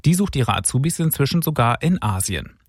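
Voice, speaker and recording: A man hosting a regional radio news show, reading the items in a brisk, clear scripted style. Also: medium pitch, big pitch swings, dry recording, studio quality. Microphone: studio microphone.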